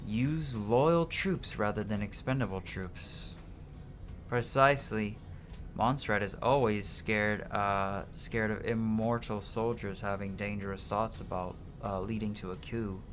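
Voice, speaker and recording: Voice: mid-range voice, measured pace. A streamer with a mid-range voice reads game dialogue aloud dramatically. The delivery trips over words and corrects itself. Game music plays behind the voice. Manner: dramatic reading with stumbles and self-corrections.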